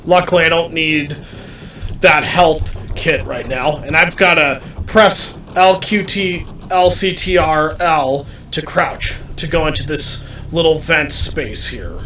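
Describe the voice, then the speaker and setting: Bright-voiced man doing game commentary, roomy sound. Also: a little high, takes it slowly. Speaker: gamer commentating a playthrough. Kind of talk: gameplay commentary, explaining controls to viewers. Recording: roomy, distant mic, faint background noise.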